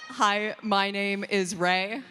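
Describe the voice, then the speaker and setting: A woman's voice: mid-range voice, fairly even intonation, slow pace, very noisy. A woman from the audience asking a question at a live convention panel. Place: large convention panel hall. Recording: echoey hall PA with long reverb.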